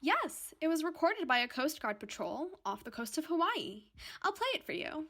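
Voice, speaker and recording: An overdramatic woman hosting a paranormal podcast in a radio drama. Her voice is on the high side and swings widely in pitch. She is theatrical and self-important, yet easily flustered. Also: big pitch swings, moderate pace, fairly bright tone, exaggerated voice. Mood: perky, eager.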